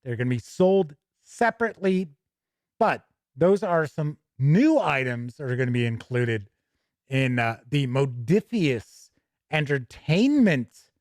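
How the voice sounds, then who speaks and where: A man's voice: mid-range voice, no room sound, clean studio sound. A man hosting a tabletop gaming news show, chatting casually between read-out product copy, with self-correcting, rambling asides.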